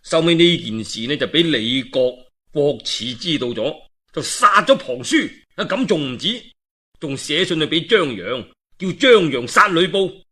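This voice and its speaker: Cantonese guy telling old historical stories, really lively pitch swings, bit husky. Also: a little slow. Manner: colloquial, animated, dramatic asides. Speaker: storyteller. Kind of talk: traditional oral storytelling of a historical novel.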